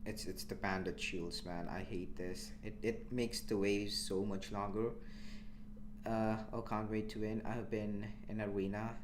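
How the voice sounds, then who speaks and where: A young man from India commentating his live game stream in a relaxed, chatty way, answering chat as he plays. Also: on the low side, a little slow, background noise. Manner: relaxed, chatty, with frequent restarts and small laughs.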